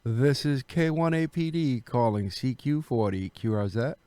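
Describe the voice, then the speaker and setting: A man's voice: mid-range voice, unhurried, noisy background. A man who is an amateur radio operator, chatting casually and making radio calls. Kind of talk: casual chat and radio calling with phonetic alphabet.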